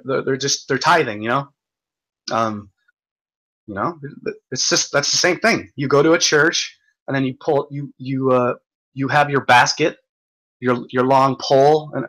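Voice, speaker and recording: A man hosting a livestream, ranting in a profane, stumbling, repetitive way while reading comments, his voice going hoarse. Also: mid-range voice, fairly bright tone, poor audio. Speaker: livestream host. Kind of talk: rambling livestream rant.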